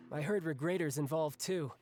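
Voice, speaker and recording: A man's voice: on the high side, unhurried, a little mellow, faint music behind. A man voicing a playful, cocky young warrior with a breezy, teasing manner in an anime video game. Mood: breezy, eager.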